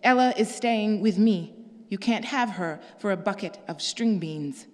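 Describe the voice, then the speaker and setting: A woman reading a novel aloud, voicing a stubborn young mother who speaks firmly and with quiet defiance. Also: medium pitch, animated, measured pace, lightly acted. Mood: defiant. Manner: firm, clipped, quietly defiant. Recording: long reverb.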